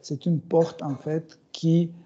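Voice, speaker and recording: A middle-aged man giving a scripture class in a small room, speaking slowly and hesitantly with many fillers and soft chuckles. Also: a little high, little pitch movement, husky. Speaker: spiritual teacher. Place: small room with bookshelves, indoors. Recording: dull, muffled recording.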